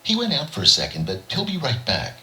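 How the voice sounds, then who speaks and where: A guarded alien snake creature from a science fiction story, acted by a man. The voice is pushed high above the reader's own and stays casual, with a bright, sharp edge. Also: huge pitch swings, a little fast, exaggerated voice.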